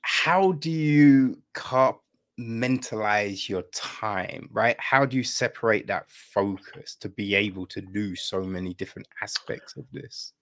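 A man's voice: lowish voice, a little slow, fairly bright tone. A middle-aged or older man hosting a casual interview over a video call. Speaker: podcast host. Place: room at home, on a video call.

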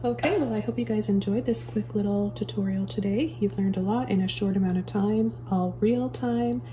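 A woman calmly talking viewers through painting step by step, as a painting teacher in her studio. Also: mid-range voice, normal speed, dull audio, slight background noise. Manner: calm, gentle step-by-step instructions. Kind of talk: real-time painting tutorial. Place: indoor studio at an easel.

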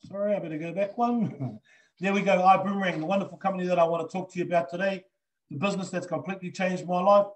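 A man's voice: high-pitched, quick pace, rough recording. A middle-aged man with a New Zealand accent giving an earnest, enthusiastic live business presentation on a video call, with many fillers. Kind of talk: live online sales presentation. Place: indoors before a green backdrop.

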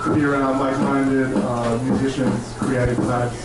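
A man's voice: mid-range voice, flat delivery, normal speed. A man in his thirties from Australia, a musician chatting casually in a live stage interview on a handheld mic. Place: rooftop bar stage at a festival at night. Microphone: shared handheld microphone. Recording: echoey, distant live PA, loud noise.

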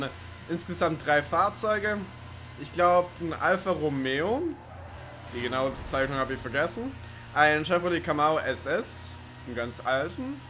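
A man's voice: on the high side, pushed and bright. A man giving casual, rambling, sweary let's play commentary over racing game sounds. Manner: rambling, sweary, joking to himself. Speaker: gaming video commentator.

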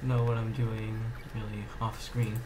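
A man giving casual, halting gameplay commentary as host of a gaming channel, slightly under the weather. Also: fairly low pitch, level tone, background noise.

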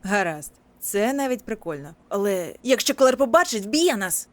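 A woman voicing a grumpy, sardonic young shop worker in an animated comedy dub, talking in a slangy, irritated way. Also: medium pitch, moderate pace, dry recording, close to an everyday voice. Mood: grudging.

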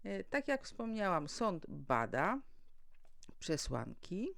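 A woman's voice: on the low side, sing-song, slow, gravelly, fairly bright tone. A woman presenting a formal, prepared legal explainer as an educator, setting out points one by one in a didactic way.

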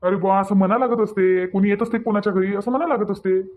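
A man's voice: high voice, steady tone, fast, natural voice. A man voicing a mild, evasive young husband in a Marathi cartoon, soothing and repeating himself in Vidarbha dialect, on narrow muffled audio. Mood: placating, defensive. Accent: Vidarbha (Varhadi) Marathi. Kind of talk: Marathi cartoon story dialogue.

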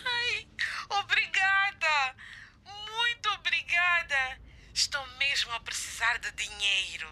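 A woman's voice: very high voice, animated, slow pace, bright, forceful tone, a little hiss or hum, exaggerated voice. A woman playing a trusting, grateful customer in a radio drama, effusive and eager.

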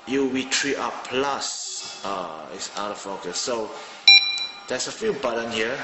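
A man's voice: mid-range voice, background noise. A man in his thirties from Malaysia, chatting casually through a gadget review at his desk and thinking aloud with fillers, filmed on a handheld phone. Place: indoors at a home desk. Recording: roomy with long reverb, music behind.

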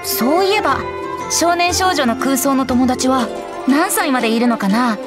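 A woman voicing a playful, easygoing boyish young bard in an anime game, gentle and teasing. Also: high voice, animated, moderate pace, music in the background. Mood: musing.

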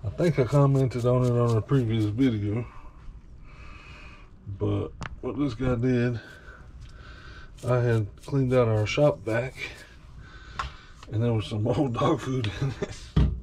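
Man, home vlogger, easygoing and rambling, mid-pitched, recorded at a kitchen sink with water noise. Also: steady tone, slow, pauses often, warm tone. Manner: rambling, folksy, talks to the dog. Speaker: vlogger washing his dog. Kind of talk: casual running commentary. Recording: running water noise behind.

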